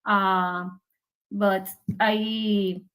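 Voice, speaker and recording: Woman, Mexican Spanish accent, non-native English, halting, laughs easily, video call guest. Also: medium pitch, level tone, fairly bright tone, clean tone. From Mexico City, Mexico. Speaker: guest, player's mother. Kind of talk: casual interview.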